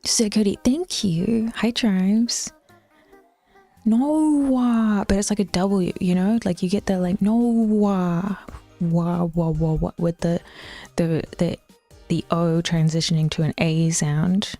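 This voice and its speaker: Chatty Auckland woman with a mellow voice. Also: fairly low pitch, steady tone, slow, faint music behind. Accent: Auckland New Zealand. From New Zealand. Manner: rambling, swears casually, drops into whispers. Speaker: streamer. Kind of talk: casual chat with live chat.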